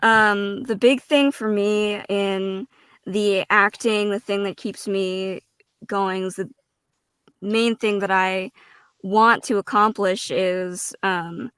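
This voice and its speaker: A woman with a smooth, clean voice speaks slowly and haltingly in a dry, close recording. She sounds earnest and informal, thinking her way through a reflective answer. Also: mid-range voice, a bit bright, no room sound. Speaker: guest voice actor and streamer. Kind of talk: casual, reflective interview answer.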